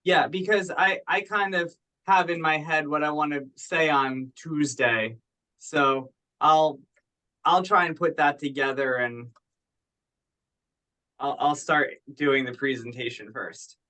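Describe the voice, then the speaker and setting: A man's voice: on the high side, slow, bright, forceful tone. A man talking casually in an online conference call, discussing work as a participant. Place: teleconference.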